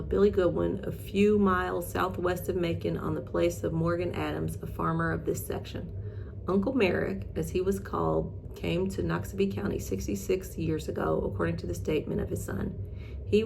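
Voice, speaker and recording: A woman's voice: lowish voice, steady tone, normal speed, creaky, noisy background. A woman hosting in a casual, chatty way, mixing reading aloud with informal, self-deprecating asides. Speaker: host reading old newspaper articles.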